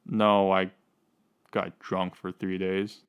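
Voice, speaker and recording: A man's voice: deep voice, completely flat delivery, slow pace, smooth. A man playing a dejected, lovesick suspect under questioning in a radio drama, plainspoken and unsure. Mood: hesitant.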